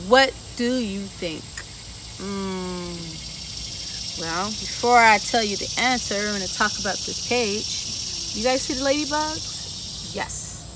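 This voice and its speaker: A woman reading a picture book aloud to children on a garden bench outdoors, playfully asking them questions between the rhymes. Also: on the high side. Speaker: children's story time reader. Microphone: camera a few feet away. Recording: outdoor recording with clear insect noise.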